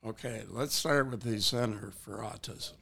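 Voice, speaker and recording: A man's voice: fairly low pitch, unhurried, vocal fry, sharp tone, husky. A man interviewing a guest with short, conversational questions. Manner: brief, conversational prompts.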